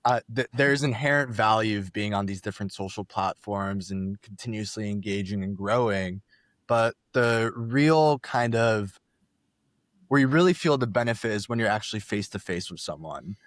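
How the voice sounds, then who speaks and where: A man's voice: lowish voice, normal speed, no room sound, clean studio sound. A young man, a startup co-founder, explaining his product in a casual podcast interview with some fillers.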